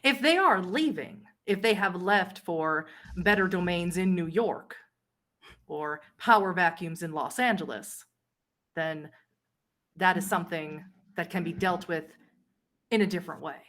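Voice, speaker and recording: A woman playing a haughty, powerful vampire ruler in a tabletop roleplay, measured and imperious, with deliberate pauses. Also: on the low side, a bit bright. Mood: grave. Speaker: game master.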